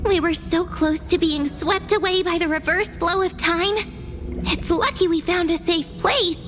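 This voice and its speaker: An actress playing a small, earnest, affectionate companion in a video game, expressive and pausing for weight. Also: female voice, very high-pitched. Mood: relieved, earnest. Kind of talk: video game character dialogue. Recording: loud music behind the voice. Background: game music.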